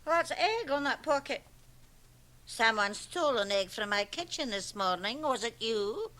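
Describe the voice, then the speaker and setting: A woman's voice: fairly high pitch, lively intonation, a little slow, bright, forceful tone. An actress playing a stern, volatile mother in a radio drama, imperious and indignant as she addresses her son. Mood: stern, accusing. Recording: hissy home cassette transfer of radio drama.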